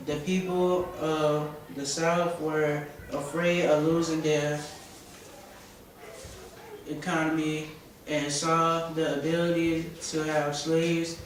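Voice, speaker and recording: A boy of about twelve or thirteen reading a school speech aloud haltingly, stumbling over words and correcting himself. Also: fairly high pitch. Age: 12-13 years old, seventh grader. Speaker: student contestant giving a speech. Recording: echoey room from far off, noisy background.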